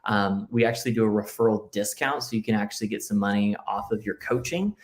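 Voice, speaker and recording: A man who grew up speaking Spanish talks with an American accent as a podcast guest. His voice is on the low side, and he speaks earnestly and reflectively, with "right?" and "you know" as fillers. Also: quick pace. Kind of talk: casual interview talk.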